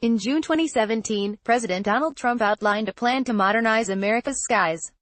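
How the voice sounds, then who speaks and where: A woman's voice: fairly high pitch, brisk, no echo. Dry-recorded woman reading news. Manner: reads formally, word for word. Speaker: news article narrator. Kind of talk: scripted news narration.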